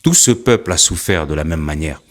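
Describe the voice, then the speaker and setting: A man's voice: a bass voice, animated, a little fast. A man delivering earnest spoken commentary, building his point through repeated rhetorical questions. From Africa.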